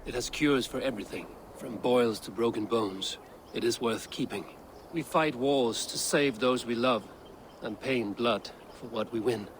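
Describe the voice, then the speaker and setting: A man's voice: medium pitch, normal speed, slight background noise, natural voice. A man playing a stern, principled Viking warrior in a video game, speaking in a grave, terse and resolute manner. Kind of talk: dramatic video game acting.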